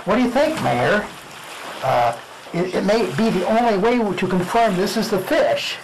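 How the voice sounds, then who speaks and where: A man's voice: high voice, expressive, moderate pace, noisy background. A man playing an earnest, easily impressed small-town police chief in a radio drama spoof. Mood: uneasy. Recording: music behind the voice.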